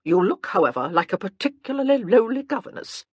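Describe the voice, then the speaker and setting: A woman reads a stern, elderly grandmother of high rank who judges everyone bluntly and gives orders. The storybook voice rises and falls a lot and sounds a little husky, recorded dry and close. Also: very animated, brisk, dry recording. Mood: imperious. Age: older. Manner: imperious, cutting.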